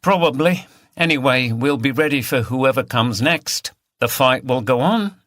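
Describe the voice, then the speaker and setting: Defiant countrywoman activist, played by a male reader, flippant and forthright, raised pitch, audiobook mystery. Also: lively intonation, normal speed, a bit bright, no echo, studio quality, lightly acted. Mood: resolute. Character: defiant, blunt village animal rights woman.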